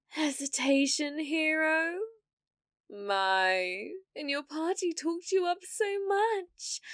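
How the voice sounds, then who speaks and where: Woman acting a slow, cruel British villainess. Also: sits high, a bit bright, dry recording, clean studio sound. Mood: mocking. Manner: taunting, theatrical, slipping into breathy whispers. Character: cruel, domineering female villainess sorceress, gloating and possessive. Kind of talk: ASMR fantasy roleplay, dramatic monologue.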